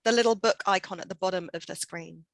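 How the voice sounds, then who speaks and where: A woman facilitating a workshop on a video call, friendly and brisk as she organizes the session. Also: medium pitch, gravelly, no echo. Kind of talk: casual video-call chat.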